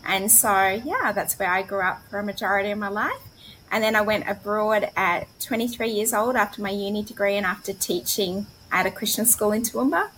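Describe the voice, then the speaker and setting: A woman with an Australian accent talks casually about her own life as an interview guest. Her voice is mid-pitched, with faint noise behind it. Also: brisk, a bit bright, low-quality recording. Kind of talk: casual personal storytelling. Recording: clear background noise.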